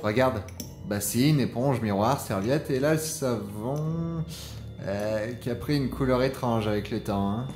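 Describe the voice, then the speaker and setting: An actor playing an enthusiastic, history-loving sidekick on an exploration in an audio drama, chatting in a casual, easygoing way. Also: male voice, medium pitch, measured pace, a little mellow, lightly acted. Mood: fascinated. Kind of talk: French audio drama, naturalistic dialogue. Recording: roomy echo, music underneath.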